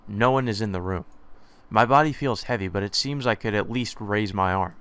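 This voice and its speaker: A man hosting a let's play, reading a visual novel's first-person text aloud in a measured, slightly hesitant way. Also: on the low side, a bit bright, a little hiss or hum.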